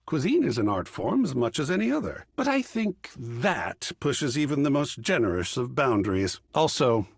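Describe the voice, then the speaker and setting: A man's voice: medium pitch, sing-song, moderate pace, few pauses, slightly rough, no room sound, studio quality. A man voicing an effusive, refined art curator in a video game, theatrical and fussy, savoring florid phrases. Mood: musing, playful. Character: effusive, refined male art curator, an artwork come alive. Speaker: gallery curator character.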